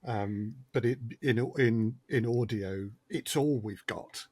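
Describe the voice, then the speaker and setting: A man's voice: mid-range voice, slow pace, darkish tone, breathy edge. A man talking as a sound designer and podcast guest, thoughtful and wry, with frequent hesitations, in a casual discussion.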